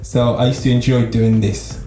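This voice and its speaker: A man with a slight French accent talks casually, looping back over his own words as he works toward a point. His voice sits in a medium pitch and carries the echo of a live room, with music playing behind him. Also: steady tone, moderate pace. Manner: repeats himself, restarts often. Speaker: podcast co-host. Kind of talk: casual chat.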